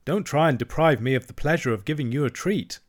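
A man's voice: medium pitch, brisk, voice pushed up, lightly acted. A man voicing a hearty, shrewd middle-aged barge woman who speaks politely with a sly edge.

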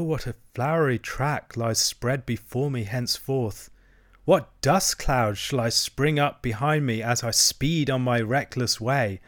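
A man voicing a dreamy, self-absorbed toad in a storybook, murmuring rapturously in drawn-out phrases. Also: mid-range voice, no echo, clean studio sound, lightly acted. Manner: murmuring, rhapsodic, drawn-out delivery.

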